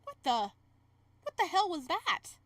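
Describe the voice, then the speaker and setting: A woman doing casual, animated commentary while reading and playing through a visual novel, exclaiming and repeating her phrases. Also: extremely high pitch, a little slow. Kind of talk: casual reaction commentary mixed with read-aloud narration.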